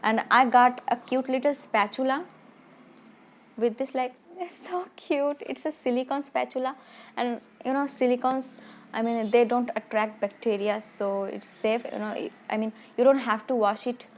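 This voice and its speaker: Beauty vlogger, woman, slightly high voice, chatty and rambling, a bit shy. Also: steady tone, moderate pace, a little hiss or hum. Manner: rambling, full of "I mean" and "like" restarts; self-conscious, a little shy. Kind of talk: casual product review.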